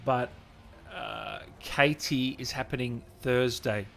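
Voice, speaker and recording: A man's voice: medium pitch, slow, vocal fry, a bit bright, a little hiss or hum. A man hosting a gaming livestream, chatting casually and informally to his viewers in a chummy way. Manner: chummy, informal, addressing viewers as guys and brother. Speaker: host of a gaming channel livestream.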